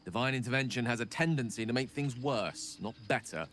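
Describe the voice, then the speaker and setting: A man's voice: mid-range voice, fairly quick, a bit bright, slight background noise, lightly acted. A man acting a weary, eloquent wizard facing his death in a video game, speaking in a formal, reflective and articulate manner. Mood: grave, resolved.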